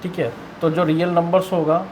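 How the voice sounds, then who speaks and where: High-pitched Indian male maths teacher. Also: level tone, moderate pace, darkish tone, slight background noise, low-quality recording. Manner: asks and answers own questions, repeats key points. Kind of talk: explanatory classroom-style maths lesson.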